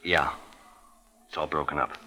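An actor playing a laconic, wry police detective with clipped, understated delivery, in old radio drama style. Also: male voice, a bass voice, steady tone, moderate pace, pushed and bright, heavily acted. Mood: grave. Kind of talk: 1940s-50s radio drama acting.

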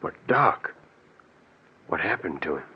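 An anxious, consoling man, in forties radio melodrama. He speaks slowly with big swings in pitch, through a phone-like old recording. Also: very animated, husky, full character voice. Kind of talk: 1940s radio melodrama acting.

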